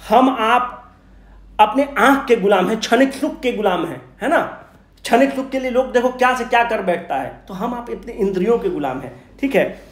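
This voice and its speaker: A man giving an informal exam revision lecture, asking questions and answering them himself in colloquial speech, mixing in English terms. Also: sits high. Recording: echoey room.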